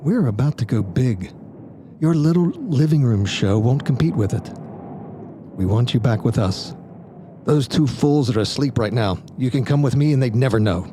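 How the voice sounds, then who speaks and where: Guy playing smug male magician in an audio drama, mellow voice, coaxing, theatrical, bit of noisy ambience behind. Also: mid-range voice, expressive, normal speed, close to an everyday voice. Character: smug, egotistical, coaxing male magician, former stage star.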